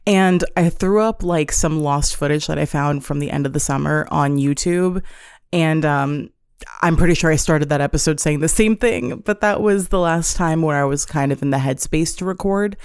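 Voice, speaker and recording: A woman's voice: low-pitched, normal speed, darkish tone, clear, resonant tone, no room sound, clean studio sound. A woman in her twenties hosting her own podcast alone, chatting casually and self-deprecatingly from her apartment. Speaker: solo podcast host. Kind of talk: casual confessional chat. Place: apartment room. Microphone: on-camera setup.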